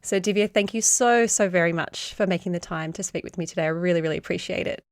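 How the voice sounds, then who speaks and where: A woman's voice: on the low side, quick pace, dry recording, clean studio sound. Effusive female podcast host. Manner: warm, gushing, repeats for emphasis. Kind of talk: scripted intro and interview.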